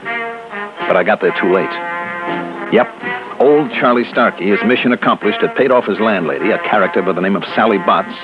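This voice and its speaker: Low, animated American hard-boiled investigator. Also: male voice, moderate pace. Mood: wry, storytelling. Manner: wry, clipped, confident. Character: wry, hard-boiled male insurance investigator narrating his case. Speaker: lead investigator and narrator. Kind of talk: 1950s radio noir narration and dialogue. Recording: muffled old radio recording with music.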